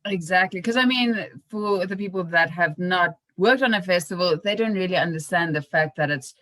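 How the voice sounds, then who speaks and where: A woman's voice: on the low side, moderate pace, a bit bright, no room sound. A young woman hosting a podcast, chatting casually and informally in an anecdotal style. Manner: informal, acts out remembered remarks. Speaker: podcast host.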